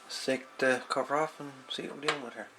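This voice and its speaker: A man giving a casual, folksy, hands-on repair walkthrough, talking in short, unhurried pieces. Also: medium pitch, steady tone. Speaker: host of a radio repair channel. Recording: loud background noise with clicks.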